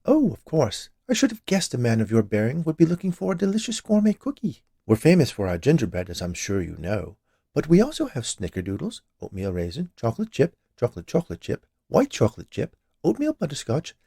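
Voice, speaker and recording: Man acting a storybook shopkeeper woman, annoyingly cheerful, chatty, pitched up, lively swoops, dry close sound. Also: brisk, vocal fry, breathy edge, no echo, exaggerated voice. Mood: cheerful, eager. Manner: annoyingly cheerful, rattling off lists.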